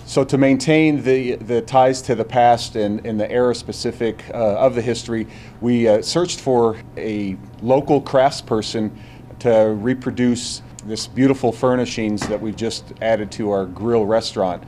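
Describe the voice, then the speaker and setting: A man giving a measured, somewhat formal and hesitant explanation in an interview, as a club representative. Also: mid-range voice, fairly even intonation, dark tone, a little hiss or hum. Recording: faint music under the voice.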